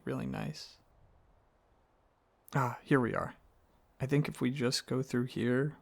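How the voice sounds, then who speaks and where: A man playing a gentle, affectionate dragon boyfriend in an intimate ASMR roleplay, speaking softly and earnestly with some hesitant rambling. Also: mid-range voice, heavily acted. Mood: wistful, serene, then caring, easygoing. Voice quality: soft, husky, slightly gravelly, breathy.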